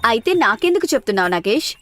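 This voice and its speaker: A woman's voice: on the high side, very animated, quick pace, fairly bright tone. A woman voicing a gluttonous, guileless elder sister who excuses herself in a moral story. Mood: nonchalant.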